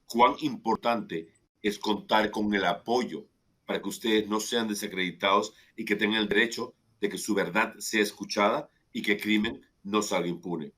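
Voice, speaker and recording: A man moderating a press conference and interpreting a question, brisk and organizing. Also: on the low side, a bit bright.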